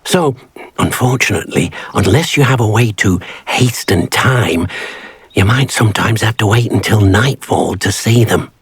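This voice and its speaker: A man's voice: lowish voice, moderate pace, husky, no room sound. An actor playing a small, eager male house elf in a video game, who talks of himself in the third person in a fussy, polite way. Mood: patient. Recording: faint game music behind.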